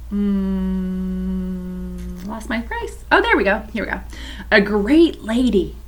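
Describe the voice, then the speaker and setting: Woman reader for kids, gravelly tone, mid pitch, storybook narration with friendly asides. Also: poor audio. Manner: gentle, chatty asides to young listeners. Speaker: children's story reader at a church. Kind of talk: reading a novel aloud to children with asides.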